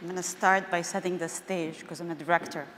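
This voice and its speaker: A woman's voice: fairly low pitch, fairly even intonation, a little fast, a loud crowd, very noisy. A woman from Syria with a Syrian Arabic accent, a theater director and filmmaker telling personal stories in a chatty way, with many fillers. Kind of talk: personal storytelling talk. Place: museum auditorium. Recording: roomy echo of a large hall.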